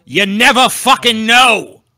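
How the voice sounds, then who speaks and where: A man's voice: extremely high pitch, slow pace, pushed and bright. A man co-hosting a podcast in casual, crude banter, blunt and full of swearing. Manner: profane, blunt. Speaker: podcast co-host.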